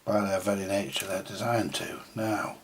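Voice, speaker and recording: A man's voice: low voice, steady tone, normal speed, gravelly, a little hiss or hum. A British man giving casual, colloquial hands-on repair commentary, thinking aloud and muttering to himself with a mild grumble. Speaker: vintage radio repairer presenting a video.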